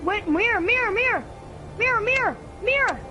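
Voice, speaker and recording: A high-voiced gamer casually chatting and calling out tactics to teammates during a multiplayer video game. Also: expressive, normal speed, pushed and bright, faint music behind. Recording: clearly noisy gaming mic recording.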